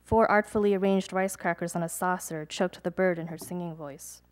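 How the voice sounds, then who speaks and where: A young adult woman, a poet, reading her poems aloud at a live event, measured and deliberate, with chatty, hesitant asides between pieces. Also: lowish voice, fairly even intonation. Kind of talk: poetry reading with casual asides to an audience.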